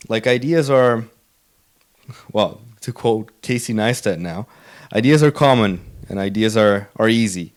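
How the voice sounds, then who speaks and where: Man, lowish pitch, podcast host, casual interviewing, agreeable, conversational. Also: darkish tone. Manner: agreeable, frequent backchannels.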